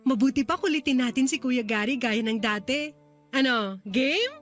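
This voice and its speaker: A young Filipina little sister in a radio drama, sweet and playful. Her voice is clearly high, with light music behind it. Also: animated, moderate pace. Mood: playful, excited.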